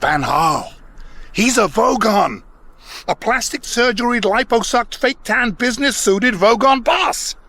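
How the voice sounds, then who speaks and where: A man playing a brash, vain alien ex-president in a comic radio drama, slangy, boastful and excitable. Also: very high-pitched, measured pace, heavily acted. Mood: urgent, conspiratorial.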